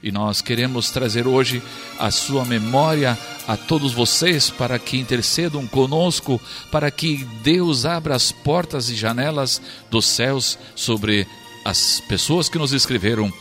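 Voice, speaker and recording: Fervent radio pastor, reverberant. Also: male voice, medium pitch, measured pace, a bit bright. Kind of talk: radio sermon and appeal. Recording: long reverb with faint music.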